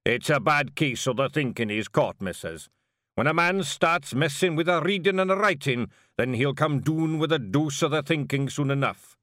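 A man's voice: fairly high pitch, expressive, moderate pace, fairly bright tone, no room sound, studio quality, pitched above the performer's own voice. Man voicing a blunt burly Scots pixie warrior. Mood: mocking. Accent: Scottish.

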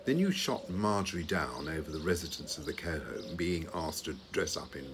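A man acting in an audio play as a long-suffering, placid retired British husband, older, with a dry, reasoning, gently needling manner. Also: rumbling low, expressive, moderate pace, noisy background. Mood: exasperated.

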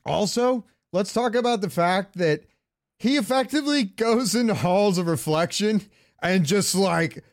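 A man's voice: sits high, unhurried, no room sound. Man chatting casually, very dry sound. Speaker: podcast co-host. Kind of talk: casual chat about games.

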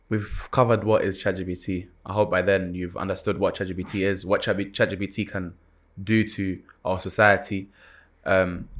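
A young university student co-hosting a casual chat, talking in a relaxed way with fillers and repeated words. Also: male voice, low voice. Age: young adult. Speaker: co-host.

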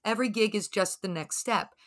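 A woman's voice: medium pitch, a little fast, a bit bright. A woman interviewing a guest, asking questions in a thoughtful, conversational way with frequent ums and you-knows. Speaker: interviewer, host.

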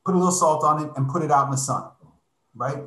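A man in casual conversation, explaining things in a relaxed way, often adding "like" and "right?". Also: fairly high pitch, quick pace. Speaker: podcast guest living abroad. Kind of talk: casual chat. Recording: echoey room.

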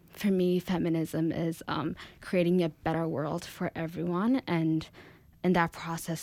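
A woman from Ethiopia with a low voice and a gravelly, creaky edge. She talks in a thoughtful, measured way, and she hedges her points as a guest on a casual student podcast. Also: darkish tone, no echo. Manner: thoughtful, hedging with "I feel like". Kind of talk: casual panel discussion.

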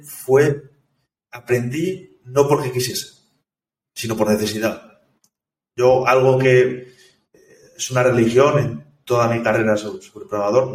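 A man, a software developer, talking casually in an interview, thoughtful and hesitant, with many restarts. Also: medium pitch. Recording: echoey room, distant from mic.

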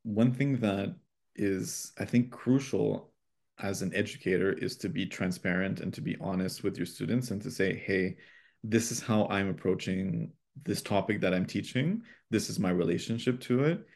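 A young man talking in a relaxed, reflective way as a podcast guest, pausing to think as he explains his views on teaching. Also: fairly low pitch, creaky. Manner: reflective, thoughtful, speaks with pauses. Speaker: podcast guest, language educator. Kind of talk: casual conversation.

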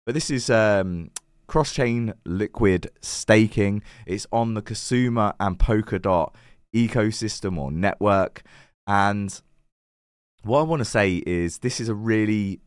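Croaky low-voiced man talking crypto. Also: slow pace, no room sound, clean studio sound. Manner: chatty, loose, frequent fillers and self-corrections. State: croaky, recovering lost voice. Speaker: crypto channel host. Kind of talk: casual to-camera crypto commentary.

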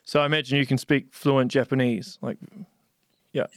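Male host, curious questions, mid pitch, dry close sound. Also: steady tone, moderate pace, dry recording. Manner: curious, asks long probing questions. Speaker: podcast host. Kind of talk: interview conversation.

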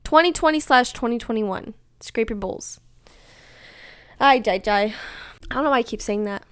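A woman's voice: fairly high pitch, normal speed, clear, resonant tone, dry recording, clean studio sound. A young woman hosting a casual solo podcast, chatty and exasperated, with lots of likes and ums. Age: young adult. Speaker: podcast host.

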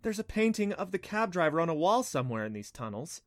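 A man's voice: fairly high pitch, sing-song, fast, no room sound, studio quality, voice pushed up. A man voicing a caring adult mother in a storybook, bewildered and earnest, with a tender, questioning manner. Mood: dumbfounded.

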